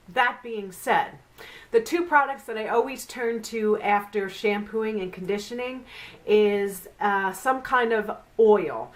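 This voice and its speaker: A middle-aged woman hosts a casual beauty review vlog, and she sounds nasal and congested from a flu. She talks in a chatty, rambling way, with lots of fillers along the way. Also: medium pitch. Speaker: beauty vlogger.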